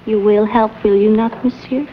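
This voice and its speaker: An actress playing a trusting, flustered young French teacher with a French accent, speaking breathily and politely in a vintage drama. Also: female voice, medium pitch, steady tone, moderate pace, a little hiss or hum, close to an everyday voice. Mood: hesitant. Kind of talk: vintage television drama acting. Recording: dull lo-fi old soundtrack.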